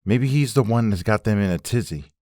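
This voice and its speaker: A man's voice: fairly low pitch, expressive, a little fast, darkish tone, no echo, studio quality, lightly acted. A man playing a puzzled, wary husband in a comic dub, thinking aloud in a conversational way. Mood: puzzled, pondering. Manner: conversational, musing. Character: puzzled, wary husband mulling over a conspiracy. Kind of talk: comic book dub acting.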